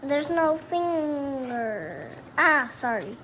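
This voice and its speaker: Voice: high voice, sing-song, extremely slow. A child chatting casually to viewers as co-host of a homemade puppet show video. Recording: noisy homemade recording.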